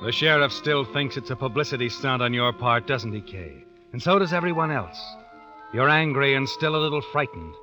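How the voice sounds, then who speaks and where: An actor playing a knowing, suspenseful narrator who tells an old-time radio drama in the second person. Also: male voice, mid-range voice, lively intonation, moderate pace, natural voice. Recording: dull vintage radio recording with music.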